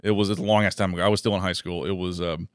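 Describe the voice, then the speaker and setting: A man's voice: sits low, little pitch movement, rapid-fire, smooth, no room sound, clean studio sound. A man in his thirties, a guest comedian, chatting in a laid-back, rambling way and swearing freely. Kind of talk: casual chat.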